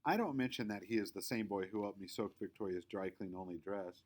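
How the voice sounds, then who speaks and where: A man reading a children's story aloud as narrator, in an expressive, emphatic read-aloud style. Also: lowish voice, fairly quick. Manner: animated, emphatic. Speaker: storybook reader.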